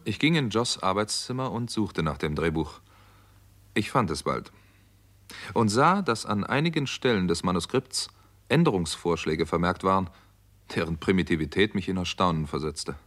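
An actor playing a sober, wry doctor who narrates a 1960s German radio detective story in a measured, matter-of-fact way. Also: male voice, deep voice, expressive, fairly bright tone. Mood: sober, reflective. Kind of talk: 1960s German radio drama acting and first-person narration.

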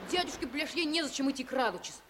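A woman's voice: sits high, fast talker, background noise, natural voice. A woman voicing a brave, determined young boy who leads his friend, in a 1950s radio play. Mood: eager. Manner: eager, commanding, lively.

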